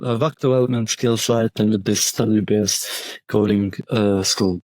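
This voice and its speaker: A man's voice: lowish voice, steady tone, normal speed, a little mellow, dry recording. A young man from Croatia, a bootcamp graduate, walking through a website demo with frequent restarts and fillers. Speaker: bootcamp graduate presenting project. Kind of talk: software demo walkthrough.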